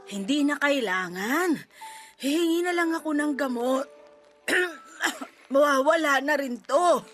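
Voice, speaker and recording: A woman's voice: high-pitched, expressive, slow, a bit bright, faint music behind, full character voice. A woman playing a proud, stubborn, sick single mother in a radio drama. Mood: stubborn, defensive. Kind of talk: Tagalog radio drama acting.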